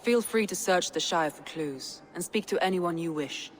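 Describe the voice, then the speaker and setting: A woman's voice: fairly low pitch, close to an everyday voice, rough recording. Woman playing resolute Viking clan leader, stern authoritative delivery, game drama, slight background noise. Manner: authoritative, measured. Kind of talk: dramatic video game acting.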